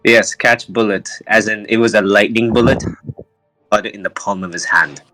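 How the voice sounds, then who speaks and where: A man chatting casually and informally in an animated way as a player in a tabletop game. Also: on the low side, normal speed, no echo, faint music behind, rough recording.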